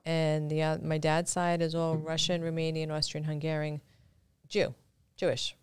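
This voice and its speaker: A woman with a smooth, clear voice talks casually as a podcast guest. She is animated and often interjects, and the sound is close and dry. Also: deep voice, moderate pace, dry recording. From Brooklyn, New York.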